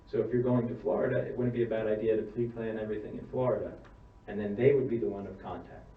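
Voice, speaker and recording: Funeral director answering questions in a hall. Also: male voice, on the low side, steady tone, fast. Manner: plain-spoken, explaining step by step with restarts. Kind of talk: answering audience questions at a seminar. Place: church hall seminar. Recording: distant mic, roomy hall, dull sound, faint hum.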